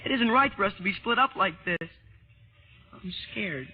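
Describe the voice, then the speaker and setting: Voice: medium pitch, lively intonation, moderate pace, breathy edge. A performer playing an earnest, loyal, anxious young son, pleading hesitantly in an old-time radio drama. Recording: tinny old radio broadcast, music behind.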